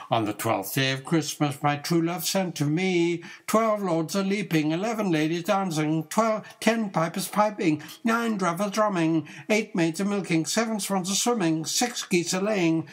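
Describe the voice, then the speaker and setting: An older man reciting nursery rhymes as a grandfather would, in a rhythmic, measured singsong with drawn-out line endings. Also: high-pitched.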